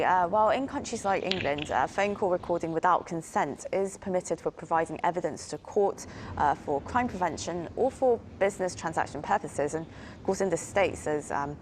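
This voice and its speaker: Low-pitched woman hosting a news discussion. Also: expressive, moderate pace, a little hiss or hum. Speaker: news programme host. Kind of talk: broadcast news interview with scripted questions.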